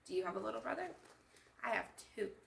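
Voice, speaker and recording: A woman's voice: fairly high pitch, expressive, quick pace, gravelly, slight background noise. A woman hosting a children's storytime as a librarian, enthusiastic, reading a picture book and putting questions to young listeners. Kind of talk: animated picture-book read-aloud for children. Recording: echoey room sound.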